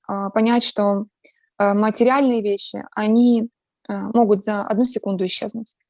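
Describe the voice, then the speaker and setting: Ukrainian woman guest, gravelly, reflective, earnest, lots of fillers, close and dry sound. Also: medium pitch, a little mellow, no echo. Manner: earnest, talks about faith. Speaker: interview guest. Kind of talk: casual conversational interview.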